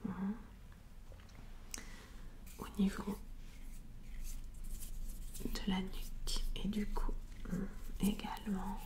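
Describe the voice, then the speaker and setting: A woman speaks in a steady, breathy whisper with very little melodic movement. She plays a soothing chiropractor in an ASMR roleplay, explaining each step gently with small hesitations. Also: mid-range voice, very slow, long pauses.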